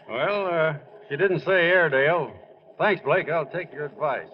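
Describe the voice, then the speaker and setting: Guy playing a bashful eager rookie in an old radio play, bit high voice, muffled. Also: unhurried, very noisy, natural voice. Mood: sheepish. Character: shy, eager young rookie ballplayer, good-natured. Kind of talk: 1940s radio drama acting. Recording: dull old radio recording.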